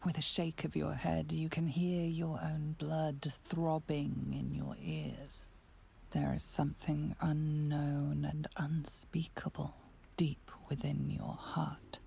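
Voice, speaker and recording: A woman playing an ominous, whispery narrator of a dark fantasy video game. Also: sits low, flat delivery, slow, gravelly, slight background noise, full character voice. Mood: ominous, hushed. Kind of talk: scripted second-person narration. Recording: faint music behind narration.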